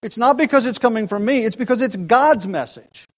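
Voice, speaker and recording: A man's voice: very high-pitched, expressive, a little fast. A man preaching an expository sermon, earnest and emphatic, often restarting phrases.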